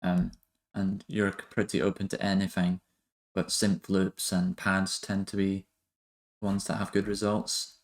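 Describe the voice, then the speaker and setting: A man giving a casual software tutorial, talking in a hesitant, halting way as he thinks aloud. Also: low voice, completely flat delivery. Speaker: music production tutorial host.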